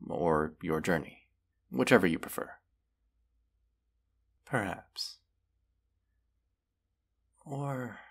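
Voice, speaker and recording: A man's voice: sits low, gravelly, slightly rough, full character voice. A man voicing a proud, mighty dragon in a fantasy roleplay, haughty and formal in manner. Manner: haughty, deliberate, formal phrasing with menacing undertone. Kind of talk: fantasy roleplay audio acting.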